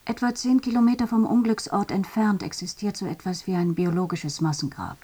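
A woman playing a sober witness in a radio drama, recounting in a plain, subdued way with a husky voice. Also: medium pitch, fairly even intonation, a little fast, warm tone, close to an everyday voice. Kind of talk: testimony monologue in a radio drama.

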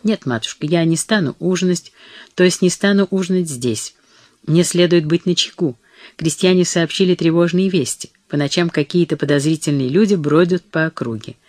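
A reader playing a weary medieval knight who speaks softly but firmly to his wife and his mother, in a storybook reading. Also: on the high side, moderate pace, no room sound, studio quality, lightly acted. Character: weary knight husband, gentle but firm.

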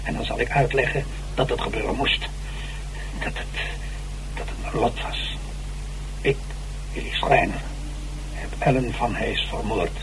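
A man's voice: medium pitch, unhurried, pauses often, lightly acted. A man in a Dutch radio drama playing an agitated, boastful old stage actor who stammers theatrically and defensively. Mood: desperate, defiant. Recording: noisy, humming old radio recording.

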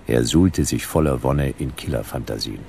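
An actor playing a laconic, world-weary detective who narrates a hardboiled radio drama in a deadpan, gravelly voice. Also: male voice, extremely low pitch, moderate pace, a little hiss or hum. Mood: dry, suspenseful. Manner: deadpan, terse. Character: laconic, world-weary male detective telling his story.

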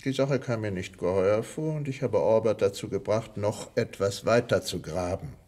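A man playing a grave village doctor who recounts things with deliberate, dramatic pauses in a radio crime play. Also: on the low side, a little mellow, close to an everyday voice. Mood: sombre. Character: grave village doctor confessing old secrets.

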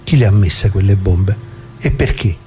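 A man's voice: sits low, normal speed, mellow, a little hiss or hum, lightly acted. An actor playing a plain-spoken left-wing worker who recalls the past in a colloquial theatrical monologue, putting questions to his listeners. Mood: bitter, questioning. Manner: conversational, rhetorical questions to listeners. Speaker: narrator of radio drama.

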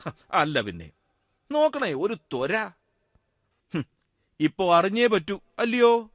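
A man's voice: high voice, very animated, slow, dry recording, clean studio sound, full character voice. An actor playing a wry, secular man risen from death, chatting and teasing unseen listeners in a radio drama monologue. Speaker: monologue performer.

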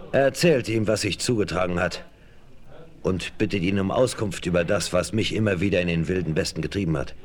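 A reserved, courageous frontiersman played by a male actor with a deep voice. He speaks earnestly and courteously, composed and formal, as in a seventies western radio play. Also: close to an everyday voice.

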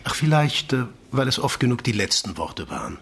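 A man playing the menacing chief of a secretive agency in a radio play, with a husky, breathy voice and a measured, politely condescending manner. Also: medium pitch, very animated, fairly bright tone, heavily acted.

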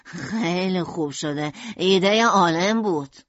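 A woman's voice: lowish voice, no room sound, muffled recording, close to an everyday voice. A woman voicing an eager, innocent son in a story, speaking earnestly. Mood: pleased, earnest. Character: eager, innocent son showing things to mum.

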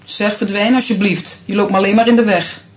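An actress playing a sharp-tongued, thrifty young wife who teases and scolds her husband, with a slightly husky voice, in a radio play. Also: medium pitch, fairly even intonation, a little fast, crowd noise, close to an everyday voice. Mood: teasing. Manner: teasing, scolding.

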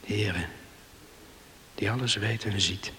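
A guilt-ridden island man talking to himself in a radio play, low slow voice, long echo. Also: pushed and bright. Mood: ashamed, anguished. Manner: brooding monologue, sighing self-reproach. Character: remorseful, self-loathing island man brooding alone. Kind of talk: Dutch radio play soliloquy. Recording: hissy recording with long reverberation.

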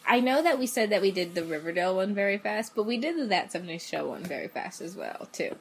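A woman's voice: medium pitch, animated, quick pace, husky. A young woman co-hosting a podcast from her bedroom, laid-back and self-deprecating, laughing often. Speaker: podcast co-host. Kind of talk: casual chat. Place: bedroom at home.

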